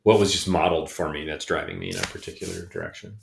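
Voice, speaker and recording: A middle-aged man with a very low, deep voice, speaking slowly and haltingly with frequent ums. He is talking personally and reflectively in a casual podcast chat. The sound carries the echo of a bare room. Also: very animated, vocal fry, background noise. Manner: hesitant, many ums and restarts. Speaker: podcast co-host. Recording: echoey room.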